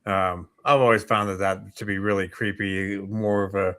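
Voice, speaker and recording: A man's voice: lowish voice, steady tone, normal speed, bright, forceful tone. A man co-hosting a casual chat show, speaking hesitantly as he searches for words. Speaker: talk show co-host.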